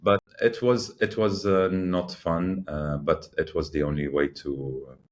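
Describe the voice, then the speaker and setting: A man in his forties from Iraq, a podcast guest speaking in a measured, hesitant way with many fillers and restarts. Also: low-pitched, fairly even intonation, a little hiss or hum. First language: English learned first, also Arabic. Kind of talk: reflective interview talk.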